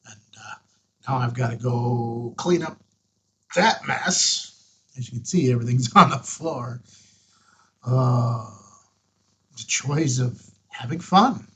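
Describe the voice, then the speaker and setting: A man's voice: medium pitch, slow, pauses often, slightly rough. A man talking casually in his vlog, plain-spoken with a wry, exasperated edge. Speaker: ham radio vlogger.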